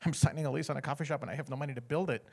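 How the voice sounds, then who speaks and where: Middle-aged American man, animated testimony storyteller, fairly high voice, acts out every character. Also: racing, vocal fry. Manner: acts out other people's lines, often laughing. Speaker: guest speaker sharing a testimony.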